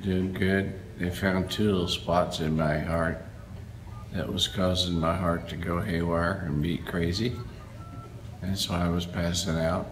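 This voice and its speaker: A man's voice: deep voice, level tone, measured pace. A man, a pastor just back from surgery, recording a short video message from a hospital room, his mouth dry and his voice weak. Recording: echoey hospital room with noise.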